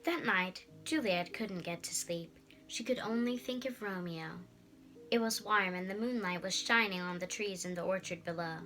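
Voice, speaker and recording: A young girl giving an expressive scripted reading of a story as narrator. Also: mid-range voice, normal speed, soft background music. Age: child.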